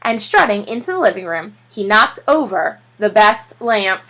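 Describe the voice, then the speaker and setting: A woman reading a children's story aloud as a teacher, in an expressive storytelling style. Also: medium pitch, normal speed, low-quality recording.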